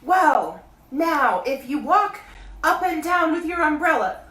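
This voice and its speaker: A woman voicing a gentle, simple-minded male teddy bear in a storybook reading, speaking in a slow, earnest loud whisper. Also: high-pitched, big pitch swings, background noise, voice pushed up, exaggerated voice. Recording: roomy, distant mic.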